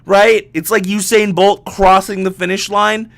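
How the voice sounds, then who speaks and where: A man with a fairly high-set voice talks alone as a podcast host. He rambles casually and jokes at his own expense, swearing as he goes. The sound is close and very dry, with no room echo. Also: little pitch movement, measured pace, creaky, fairly bright tone. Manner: self-deprecating, joking, sweary.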